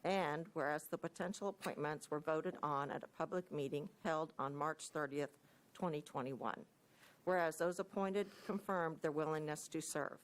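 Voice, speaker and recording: Soft-spoken woman with a low voice. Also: normal speed, low-quality recording. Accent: American Midwestern. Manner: soft-spoken, hesitant with fillers. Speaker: county department director presenting. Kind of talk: presenting at a public meeting. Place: county commission meeting room. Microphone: desk microphone.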